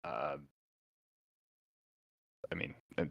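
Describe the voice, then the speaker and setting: A man's voice: drawn-out words, dry recording, lo-fi sound. A man playing a tabletop game, hesitant and musing. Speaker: player.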